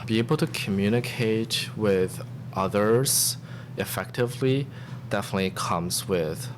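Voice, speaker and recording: A man's voice: lowish voice, measured pace. A man in his thirties whose first language is not English, answering interview questions earnestly with some restarts. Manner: earnest, reflective, with frequent restarts. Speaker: podcast guest, staff software engineer. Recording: steady background noise.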